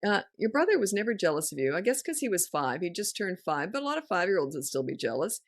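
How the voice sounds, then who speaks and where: A middle-aged woman with a fairly low voice gives frank, no-nonsense parenting advice in a casual podcast chat. Her delivery is blunt and direct. The recording is dry and close, with no room sound. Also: sing-song, fast, dry recording. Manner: blunt, no-nonsense, laughs often. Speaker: parenting coach and podcast host.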